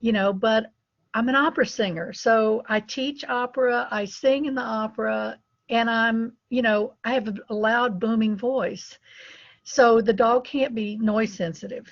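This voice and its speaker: A woman's voice: a little high, fairly even intonation, unhurried, no echo, dull audio. A middle-aged woman, a dog breeder, telling anecdotes casually in an interview. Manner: chatty, anecdotal, laughs between stories.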